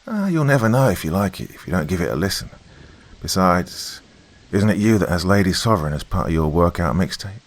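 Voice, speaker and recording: A man's voice: sits low, big pitch swings, fairly quick. A man voicing a young, eager, cheeky lance corporal in a military story, playful and exaggerated. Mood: mock outrage. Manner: playful, hesitant fillers, exaggerated.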